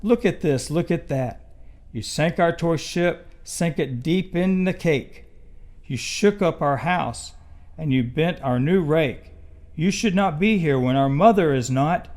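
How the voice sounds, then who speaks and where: Small fussy fish for a storybook, male reader. Highish, measured, indignant, forever telling people off. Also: darkish tone, lightly acted. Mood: scolding. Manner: scolding, emphatic. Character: fussy, indignant, scolding little pet fish. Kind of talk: children's storybook read aloud.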